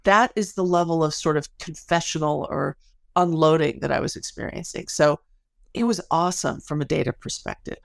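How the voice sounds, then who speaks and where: A woman with a noticeably creaky voice talks as an interview guest. She explains her research like a storyteller, with casual asides and colorful phrasing. The sound is dry, with no room around it. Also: deep voice, normal speed, dry recording.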